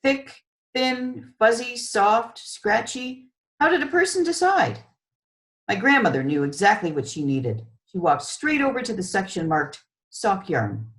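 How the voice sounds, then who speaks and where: A woman reading a children's story aloud as an author, with expressive, measured narration. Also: medium pitch, rough recording.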